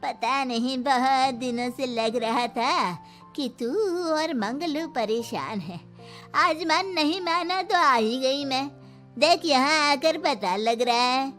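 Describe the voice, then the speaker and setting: A woman's voice: high voice, slow pace, a bit bright. A woman playing a bossy old village grandmother-in-law in a story, nagging and complaining. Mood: plaintive. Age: older. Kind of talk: dramatised Hindi moral story. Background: music.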